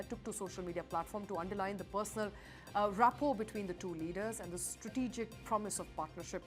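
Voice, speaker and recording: A woman works as a news anchor, delivering a scripted explainer in an emphatic newsreader style. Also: mid-range voice.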